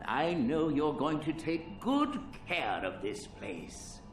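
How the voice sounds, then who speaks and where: An actor playing a gently amused, fatherly elderly inventor, speaking slowly, with echo and game music behind. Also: fairly high pitch, huge pitch swings, heavily acted. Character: kindly elderly inventor father, a digital recording. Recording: roomy echo from a distance, with music.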